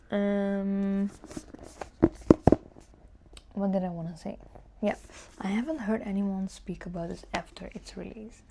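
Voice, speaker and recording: Woman book vlogger thinking out loud, creaky mellow voice, casual, bit of background hiss. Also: medium pitch. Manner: informal, musing aloud, hesitant. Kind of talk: casual chat to camera, answering tag questions.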